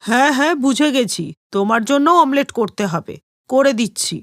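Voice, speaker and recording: A woman's voice: sits high, no room sound, clean studio sound, natural voice. A woman voicing a middle-aged village mother in a cartoon, fond and bustling with a mildly scolding manner. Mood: brisk, motherly. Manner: fond, brisk, chiding.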